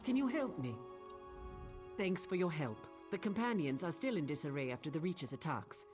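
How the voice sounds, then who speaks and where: A woman's voice: low-pitched, expressive, moderate pace, over music. A woman playing a polite, worried keeper of sacred relics in a fantasy video game, speaking earnestly. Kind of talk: video game dialogue acting.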